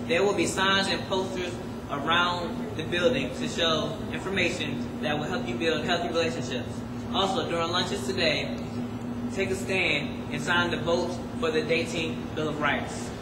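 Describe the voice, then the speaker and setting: Teen boy anchoring school news. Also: on the high side. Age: teens. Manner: reads announcements with small stumbles. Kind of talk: school announcements. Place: school room at a desk. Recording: echoey room from far off with loud noise.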